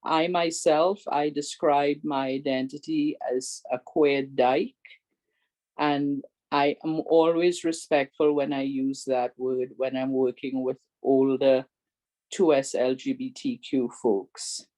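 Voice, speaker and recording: Older woman presenter with a big voice, unhurried and warm in tone, earnestly urging her listeners. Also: a bass voice, little pitch movement, low-quality recording. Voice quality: big, strong voice. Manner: earnest, pleading, repeats requests. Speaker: presenter and advocate. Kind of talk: community awareness talk.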